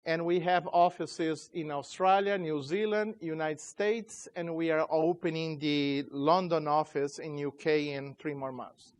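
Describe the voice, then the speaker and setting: A man's voice: a little high, fairly even intonation. Chatty Chilean-born man. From Chile, raised in Brazil. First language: Portuguese. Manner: informal, halting, loose grammar. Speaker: conference speaker, company CEO. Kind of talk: tech conference talk. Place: conference room.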